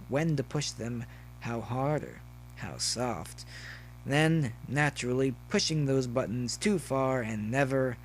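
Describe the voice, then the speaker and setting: A man narrates a scripted story in a medium-pitched voice. He reads prose as a storyteller would. A faint hum sits behind the recording. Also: rough recording. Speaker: narrator, fan fiction reader.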